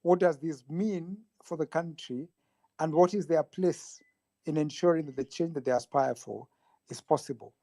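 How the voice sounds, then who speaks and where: A man joining a political panel as a guest, speaking thoughtfully with pauses. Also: on the high side, mellow, no room sound. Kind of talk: discussion of politics.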